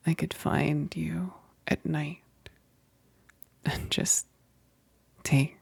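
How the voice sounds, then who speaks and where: A woman playing a female vampire best friend, tender yet menacing, in an intimate ASMR roleplay, whispering breathily and haltingly. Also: fairly low pitch, creaky, breathy edge, dry recording, full character voice. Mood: quietly threatening. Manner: slow, halting, intimate, with sighs.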